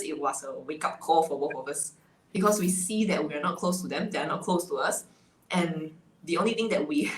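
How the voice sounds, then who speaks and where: A woman from Malaysia with a Malaysian English accent, chatting as an interview guest and laughing often between phrases. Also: sits low. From Malaysia (Sarawak). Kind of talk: casual interview chat. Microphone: video call. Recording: echoey room, faint ambient noise.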